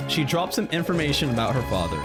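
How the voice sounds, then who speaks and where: A man streaming a game live with casual, halting commentary, often repeating himself as he talks to his chat. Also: on the high side, sing-song. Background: music.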